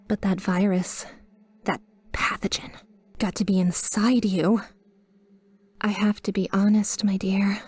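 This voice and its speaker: Woman acting as devoted guardian angel, sweet and possessive, breathy near-whisper, slow flowery delivery, ASMR roleplay, dry close recording. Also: medium pitch, no echo. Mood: jealous, possessive. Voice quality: soft, breathy, often dropping to a whisper. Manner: formal, flowery, slow and adoring. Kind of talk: roleplay ASMR, scripted monologue.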